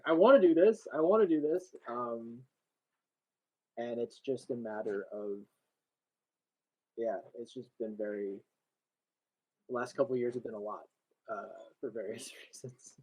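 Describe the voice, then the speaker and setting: A man's voice: medium pitch, moderate pace, pauses often, darkish tone, husky, poor audio. A man, a comic artist, talking casually and hesitantly on a video call. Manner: hesitant, lots of fillers and restarts. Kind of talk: casual chat.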